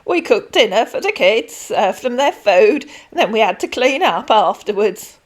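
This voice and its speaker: A gentle, chatty grandmother in her seventies, as a woman narrator puts her on. She speaks up with a high, lilting lift and calls everyone darling. Plain and literal, in a storybook reading. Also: animated, normal speed. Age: 70s. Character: chatty 76-year-old retired dinner lady, gentle and guileless. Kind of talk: read-aloud storytelling.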